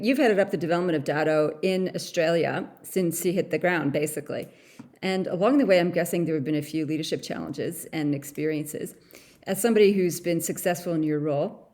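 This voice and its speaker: A woman's voice: fairly low pitch, fairly quick, darkish tone. A woman from Canada hosting a podcast interview in a playful, chatty way. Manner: playful, laughs easily. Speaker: podcast host. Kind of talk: casual interview chat.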